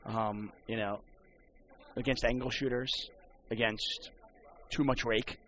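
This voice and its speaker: A man's voice: medium pitch, fairly even intonation, takes it slowly, fairly bright tone, highs cut off, a little hiss or hum. A man who works as a poker and sports broadcaster, chatting casually on a podcast and rambling with many restarts.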